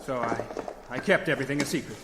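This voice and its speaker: An actor playing an older expedition scientist, formal and apologetic, in an old-time radio adventure. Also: male voice, mid-range voice, fast, natural voice. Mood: explaining, contrite. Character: earnest, contrite older expedition scientist, father. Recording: echoey, noisy old-time radio recording.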